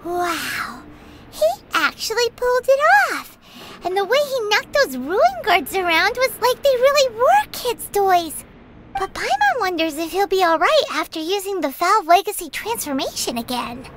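Brief: tiny chatterbox fairy girl, very high voice that leaps around, game companion. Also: very animated, normal speed, very noisy. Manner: refers to herself in third person, bright and chattery. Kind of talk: game voice acting.

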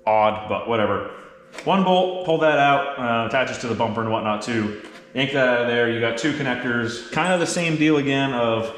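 A man in his thirties giving a casual, candid hands-on install walkthrough to camera in a garage workshop. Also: mid-range voice. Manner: candid, informal, explanatory. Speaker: mechanic and video host. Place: roomy indoor garage workshop. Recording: echoey garage, clear background noise, clicks.

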